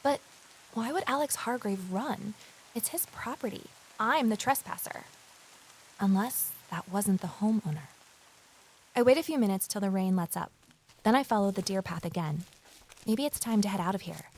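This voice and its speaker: A woman's voice: medium pitch, animated, normal speed, darkish tone, husky, poor audio. A woman playing an eager, nosy former journalist turned sleuth, telling her story in earnest first-person audio drama style. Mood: tense, intrigued.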